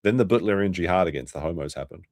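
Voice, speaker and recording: A man's voice: sits low, quick pace, a little mellow, dry recording, studio quality. A young man with a New Zealand accent, chatting crudely and rambling as a livestream host reacting for his chat. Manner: rambling, crude, restarts often, talks to chat. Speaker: streamer host.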